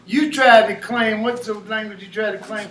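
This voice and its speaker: A man giving an informal lecture to a small live audience, animated and often questioning the listeners. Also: high-pitched, moderate pace. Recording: echoey room, mic far off.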